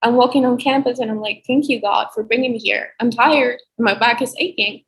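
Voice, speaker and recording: A woman's voice: a little high, a little fast, rough recording. A young adult woman, a guest on a video-call interview, giving heartfelt, reflective advice about faith and healing. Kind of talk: earnest faith-based advice.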